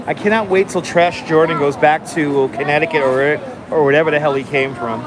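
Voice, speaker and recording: A man hosting a casual live stream, chatting calmly and enunciating clearly while he waits in line outdoors. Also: fairly high pitch, fairly quick, a crowd in the background. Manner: calm, enunciated, chatty with viewers. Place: outdoors on a city street waiting in line. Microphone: phone held for a live stream. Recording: noisy outdoor phone stream. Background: city traffic and street noise.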